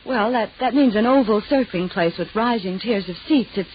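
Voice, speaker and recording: A woman's voice: mid-range voice, normal speed, close to an everyday voice. An actress playing a sensible, educated young woman in an old-time radio drama, firm and explanatory. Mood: cheerful, explaining. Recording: noisy, muffled old radio recording.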